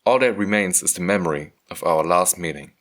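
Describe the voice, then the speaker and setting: A man reading a scripted first-person story as a motion comic narrator, measured and earnest. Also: deep voice, a bit bright.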